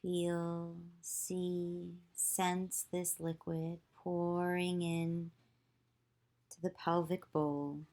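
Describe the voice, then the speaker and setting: Very slow, low-voiced woman guiding meditation. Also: level tone, mellow. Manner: slow, soothing, spaced instructions. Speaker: yoga teacher. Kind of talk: guided visualization meditation.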